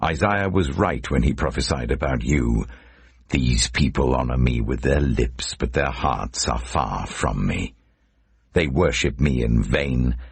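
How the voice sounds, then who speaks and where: Guy doing a solemn commanding holy teacher, super low gravelly voice, deliberate, audio bible style. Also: dry recording, exaggerated voice. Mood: stern rebuke. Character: solemn, authoritative male religious teacher in scripture.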